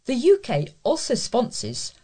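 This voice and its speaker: A woman's voice: a little high, wildly swooping pitch, unhurried, mellow, husky. A British woman reading scripted local news as a volunteer newsreader.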